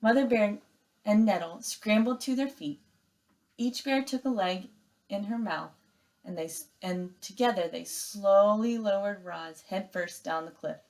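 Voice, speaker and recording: A woman teacher reading aloud and giving step-by-step instructions to young pupils in an expressive, emphatic way. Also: medium pitch, measured pace, rough recording.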